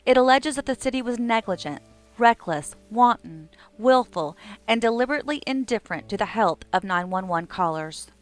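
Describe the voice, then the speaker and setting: A woman's voice: on the high side, animated, moderate pace. Female documentary narrator with music behind.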